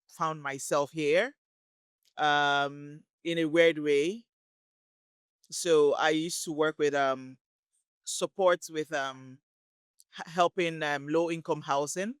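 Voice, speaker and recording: A woman from Lagos, a guest in an interview, talking casually and pausing to search for words. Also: low-pitched, fairly bright tone, clean tone, dry recording, studio quality. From Lagos, Nigeria. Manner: thoughtful, unhurried, pauses to search for words. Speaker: guest, community relations worker.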